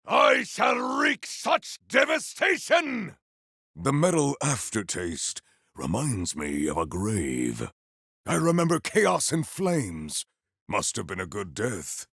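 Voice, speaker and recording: Gravelly, bombastic warrior zealot. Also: male voice, medium pitch, very animated, slow pace, dry recording, studio quality, full character voice. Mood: solemn, musing. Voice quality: gravelly, forceful. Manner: theatrical, archaic, declamatory battle cries. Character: bombastic, righteous warrior on a holy quest, zealous and grandiose. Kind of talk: video game combat voice lines.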